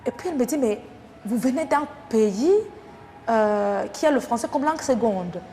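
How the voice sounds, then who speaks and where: Rwandan french accent woman, kinda high voice, thoughtful interview chat, big echoey reverb. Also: animated, normal speed, warm tone. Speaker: interview guest, French language teacher. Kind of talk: conversational interview answers. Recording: noisy, echoing hall sound with long reverb.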